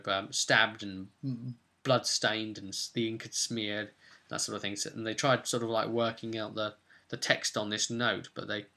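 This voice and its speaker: A man's voice: mid-range voice, bright, forceful tone. A man, a game master hosting a vidcast, informally recapping his tabletop role-play campaign.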